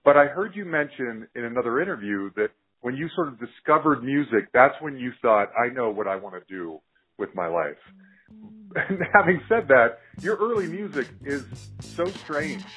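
A man's voice: medium pitch, very animated, normal speed, sharp tone, breathy edge, soft background music, poor audio. An American man hosting a conversational podcast interview in an enthusiastic manner. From Utah, United States.

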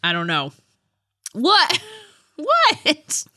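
A woman's voice: sits high, huge pitch swings, very slow, bright, forceful tone, no echo, clean studio sound. A woman co-hosting a podcast in casual chat, with a giggly, self-deprecating way of talking. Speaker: podcast co-host.

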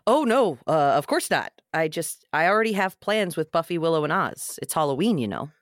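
Sarcastic, awkward young guy read by a woman, lowish and very lively, self-deprecating, close dry sound. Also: brisk, no room sound. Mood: awkward, wry. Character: wisecracking awkward young man, loyal to friends. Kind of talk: comedic script table read.

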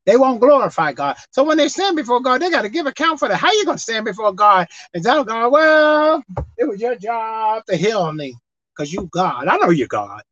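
Lively high-voiced woman preaching. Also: moderate pace. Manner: animated, call-and-response preaching with acted-out dialogue. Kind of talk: sermon. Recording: dull lo-fi dry sound.

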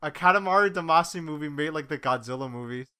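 Nasal guy, kinda high and bright, excitable podcast chatter. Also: fast talker, clear, resonant tone. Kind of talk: casual podcast banter.

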